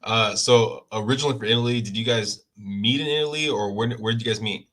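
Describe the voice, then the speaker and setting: A male host opens the interview playfully, looping through restarts and asides before landing his questions. His voice has a bright, forward edge at a middling pitch. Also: fairly quick. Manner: jokey, rambling, many restarts. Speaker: podcast host. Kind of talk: casual interview chat.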